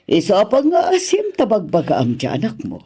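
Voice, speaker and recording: A man's voice: sits high, huge pitch swings, normal speed, warm tone, breathy edge, full character voice. A man with an Ilocano accent playing an aggrieved older parent, earnestly pleading for a wronged son in a radio drama. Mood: aggrieved, worried.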